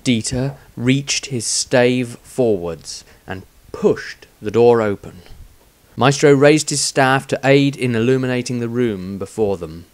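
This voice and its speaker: A man's voice: medium pitch, dry recording. Man dramatically narrating fantasy, dry close sound. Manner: measured, suspenseful storytelling. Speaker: author reading his own story.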